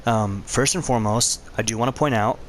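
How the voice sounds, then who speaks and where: Hoarse man hosting a gaming vlog. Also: mid-range voice, fairly quick, slight background noise. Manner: laid-back, conversational. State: hoarse, losing his voice. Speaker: channel host.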